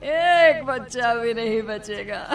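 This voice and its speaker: A woman's voice: a little high, animated, slow, faint music behind. A woman voicing a wicked, cackling middle-aged witch who sells bananas in a children's cartoon, with showy hawker calls and menacing threats. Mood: gloating, menacing. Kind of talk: Hindi children's cartoon story acting.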